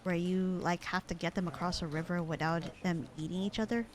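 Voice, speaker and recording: A woman acting a low-voiced, bored sarcastic secretary. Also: a little fast, slight background noise, lightly acted. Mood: awkward, flirty. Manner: deadpan, dry, teasing. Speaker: voice actor in audio roleplay. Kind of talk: ASMR roleplay acting, casual one-sided conversation.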